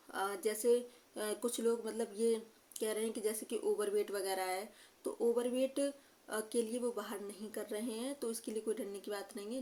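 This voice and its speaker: A woman's voice: mid-range voice, darkish tone. A young woman talking casually to viewers as a vlogger, explaining things hesitantly and often checking in with short tags. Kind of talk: casual informational talk to viewers.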